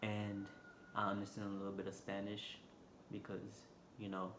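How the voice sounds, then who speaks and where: A man with a Haitian accent, vlogging unscripted from a stool indoors, talking slowly and haltingly with lots of fillers. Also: lowish voice, monotone. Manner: slow, halting, many fillers. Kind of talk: unscripted casual vlog talk. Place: indoor room, seated on a stool. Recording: echoey room with background noise.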